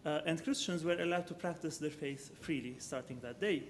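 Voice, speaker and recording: A man with a somewhat high voice gives an academic architecture lecture, measured and unhurried. He thinks aloud as he goes, filling pauses with ums and correcting himself mid-sentence. A faint low rumble sits under the recording. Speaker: architecture professor giving a lecture.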